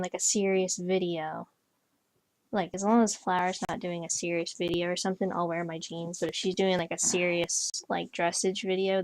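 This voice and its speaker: A woman's voice: on the low side, no room sound, low-quality recording. A young woman chatting casually while playing a horse game, rambling with lots of fillers and restarts. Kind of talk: casual gameplay chat. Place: bedroom, on a bed.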